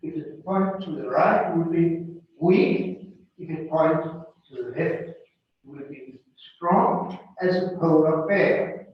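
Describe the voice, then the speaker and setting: An older man with a Danish accent, Danish by birth, giving a lecture in English that he reads carefully from a manuscript. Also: a little high. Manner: slow and careful, reading closely from a manuscript. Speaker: guest lecturer. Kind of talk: reading a prepared lecture. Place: lecture hall in an art museum. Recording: distant, echoey hall, dull sound.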